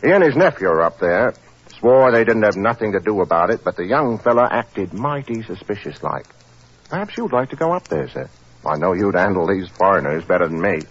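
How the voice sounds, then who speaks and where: Policeman, earnest, deferential, chatty, lively intonation, muffled radio drama. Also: on the low side, fairly quick, husky. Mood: helpful. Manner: chatty, folksy, respectful. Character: earnest, deferential working-class London police constable, eager and plodding. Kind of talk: 1940s radio acting. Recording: muffled 1940s radio recording.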